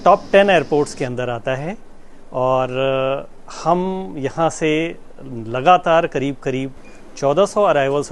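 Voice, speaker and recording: A man with an Indian accent giving a formal, explanatory address as a manager, in measured phrases with pauses mid-sentence. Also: medium pitch, a little hiss or hum. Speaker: air traffic control manager. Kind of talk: explanatory public address to camera. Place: inside an airport control tower.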